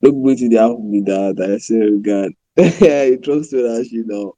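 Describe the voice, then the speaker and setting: A man's voice: medium pitch, moderate pace, warm tone, no echo. A man from Nigeria with a Nigerian accent, co-hosting a podcast over an internet call, chatting in pidgin and English with short replies and frequent laughter. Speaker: podcast co-host. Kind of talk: casual chat in pidgin and English.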